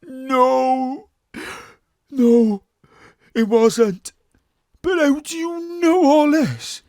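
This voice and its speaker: Guy doing a panicky high voice for an audio drama, cornered and agitated, way up high. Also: very slow, darkish tone, no room sound, clean studio sound, full character voice. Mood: agitated, shocked.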